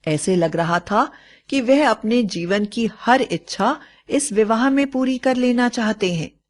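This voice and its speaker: A woman's voice: a little high, animated, unhurried. A woman storyteller reading a scripted moral bedtime story.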